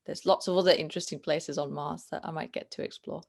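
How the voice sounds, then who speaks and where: A young woman in her twenties with a Yorkshire accent, talking thoughtfully as a guest over a video call, often pausing with ums and restarting. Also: sits low, fairly even intonation, creaky. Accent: Northern English, Yorkshire. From England. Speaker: guest, planetary science student. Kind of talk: casual interview chat.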